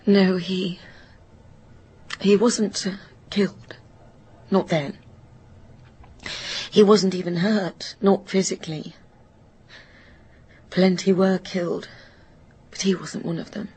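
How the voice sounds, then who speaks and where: A woman's voice: medium pitch, level tone, slow, pauses often, mellow, husky. A woman playing a haunted, wistful older woman burdened by guilt, speaking hesitantly and reflectively in a radio drama. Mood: anguished guilt. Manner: hesitant, reflective, breaking into near whispers. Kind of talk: naturalistic radio drama acting.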